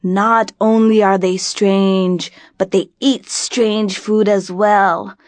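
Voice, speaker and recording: Suspicious folk-tale villager, acted by a woman, disapproving, deliberate slow delivery, pointed stress, dry sound. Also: mid-range voice, steady tone, no room sound, natural voice. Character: suspicious, disapproving village neighbor addressing a meeting.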